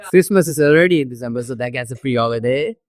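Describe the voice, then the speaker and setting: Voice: medium pitch, quick pace. A young adult podcast host with a Malaysian accent, chatting casually and peppering the talk with "like". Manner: chatty, frequent 'like'. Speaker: podcast host and international student.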